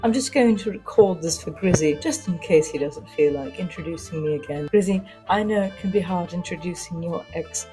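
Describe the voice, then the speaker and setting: A woman's voice: fairly low pitch, brisk, hardly any pauses, music in the background, poor audio. A woman reading fiction aloud as a storyteller, with a playful, theatrical delivery. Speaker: story narrator and reader. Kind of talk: first-person dramatic audio fiction narration.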